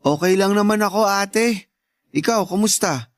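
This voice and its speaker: A man with a Filipino accent playing a shy, gentle, self-blaming teenage younger brother in a radio drama, speaking meekly. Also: sits high, measured pace, no room sound, clean studio sound. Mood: sheepish, resigned. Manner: meek, self-deprecating. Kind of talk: Tagalog radio drama acting.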